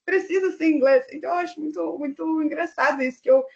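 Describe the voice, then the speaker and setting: A woman's voice: sits high, fast talker, creaky, darkish tone. A woman from Brazil with a Brazilian Portuguese accent, chatting on an online panel and thinking aloud with fillers. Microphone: video call. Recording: dull lo-fi online call audio.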